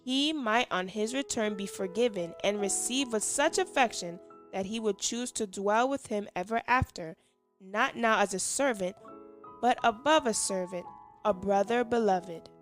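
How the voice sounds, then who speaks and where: A woman's voice: medium pitch, moderate pace, faint music behind. A woman reading scripture and a scripted religious devotional aloud. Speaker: devotional reader.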